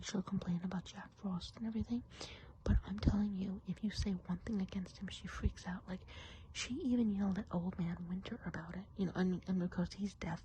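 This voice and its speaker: A woman's voice: medium pitch, dark tone, a little hiss or hum, rough recording. Whispering, gossipy woman in ASMR witch roleplay. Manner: whispered, rambling, confiding, with casual filler-laden asides. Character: friendly, gossipy witch of the enchanted woods.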